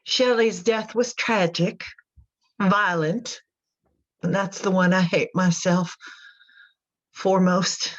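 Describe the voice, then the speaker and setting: A woman's voice: medium pitch, fairly even intonation, takes it slowly, long pauses, close to an everyday voice. Woman acting haunted folksy mother, slightly dull audio. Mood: haunted, grim. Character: haunted Southern mother confessing to killing her children. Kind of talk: dramatic monologue in a radio drama.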